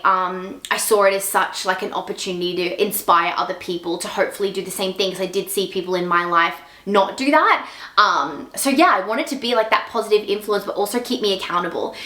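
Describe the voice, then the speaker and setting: A woman's voice: medium pitch, pushed and bright, roomy sound. A woman, chatting casually to camera in a Q&A vlog, full of "like" and "um". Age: 20s. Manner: chatty, many fillers like "like" and "um". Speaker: fitness content creator.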